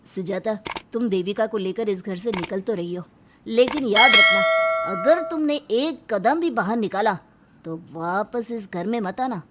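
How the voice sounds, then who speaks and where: A woman's voice: medium pitch, a little slow, heavy background noise, lightly acted. A woman voicing a threatening, reproachful husband in a Hindi cartoon family drama.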